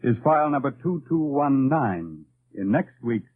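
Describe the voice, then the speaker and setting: A man's voice: mid-range voice, very animated, a little slow, narrow, tinny audio. A man delivering dramatic, scripted narration in the style of 1950s radio drama. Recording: thin old radio broadcast. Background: loud music.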